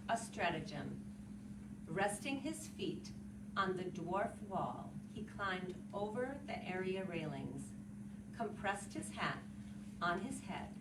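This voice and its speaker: A woman giving a dramatic reading of literary prose. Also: lowish voice. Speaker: literary reader. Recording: roomy, distant mic, loud background noise.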